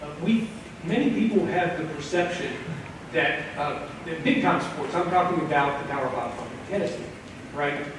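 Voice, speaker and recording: Prof guy explaining on a panel, slightly higher voice, measured, echoey hall, far off mic. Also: noisy background. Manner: measured lecturing tone, fillers like uh. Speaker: economics professor on a panel. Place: large echoey event hall.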